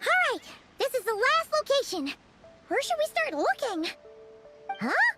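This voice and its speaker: A woman's voice: extremely high pitch, very animated, unhurried, full character voice. A woman voicing an earnest, responsible young girl in a video game, matter-of-fact as she reasons things out. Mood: businesslike. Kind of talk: video game character acting. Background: loud game music.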